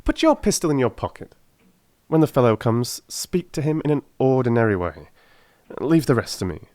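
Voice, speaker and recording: Man playing a brilliant detective, confident, theatrical, wildly swinging pitch, audiobook drama, close dry sound. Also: very animated, moderate pace, darkish tone, no echo, natural voice. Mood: brisk, commanding. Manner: theatrical, emphatic, swooping delivery. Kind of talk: dramatised audiobook character acting.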